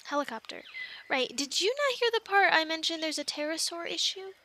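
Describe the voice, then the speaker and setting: Woman acting as plucky young female castaway, high voice, bright tone, chatty and halting, roleplay audio, faint noise behind. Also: heavily acted. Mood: urgent, exasperated. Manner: chatty, animated, halting with stammered asides. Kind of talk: ASMR roleplay, conversational one-sided dialogue.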